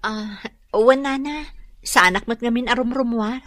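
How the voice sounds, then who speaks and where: A woman's voice: fairly high pitch, measured pace, fairly bright tone, no echo. A woman playing a worried, apologetic mother in a radio drama, pleading with a Filipino accent. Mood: anxious, apologetic. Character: worried, pleading mother behind on boarding house rent.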